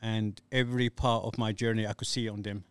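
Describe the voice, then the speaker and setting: A man's voice: lowish voice, little pitch movement, a little fast, clean tone, dry recording. A middle-aged man from Somalia, an English speaker, telling his story casually and hesitantly as an interview guest. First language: not English. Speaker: interview guest, youth community worker.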